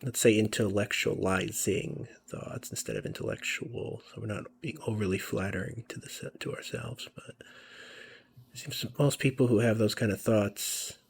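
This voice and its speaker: A man giving casual, rambling commentary over a video game, musing about himself and often dropping to a soft near-whisper. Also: lowish voice, animated, normal speed, creaky, slight background noise. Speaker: let's play commentator.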